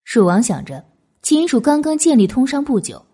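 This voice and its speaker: A woman's voice: high voice, big pitch swings, moderate pace, warm tone, breathy edge. A woman narrating a historical novel as a scripted audiobook.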